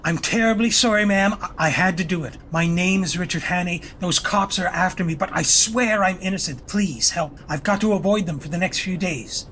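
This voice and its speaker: A man acts out a desperate, quick-witted fugitive in an audio drama. His voice sits high for a man and he speaks with polite, pleading earnestness over faint noise. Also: fairly bright tone. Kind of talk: amateur audio play acting. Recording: faintly noisy home-device recording.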